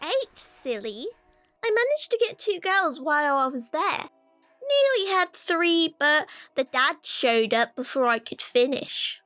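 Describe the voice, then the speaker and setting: A woman voicing a gleeful, childlike killer doll in a horror audio drama, squeaky and singsong. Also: sing-song, unhurried, fairly bright tone, dry recording, soft background music, exaggerated voice.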